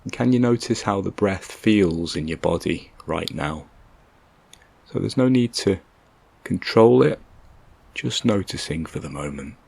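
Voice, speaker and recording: A man leading a guided meditation in a gentle, calm, measured way, leaving long pauses between short instructions. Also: deep voice, gravelly, slightly rough, no echo.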